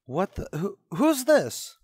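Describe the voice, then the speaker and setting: A man's voice: sits high, wildly swooping pitch, slow pace, a little mellow, breathy edge, dry recording, studio quality, full character voice. An actor playing a haunted, unravelling young man in an old-time radio suspense drama, with a brooding, dramatic delivery. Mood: panicked, disbelieving.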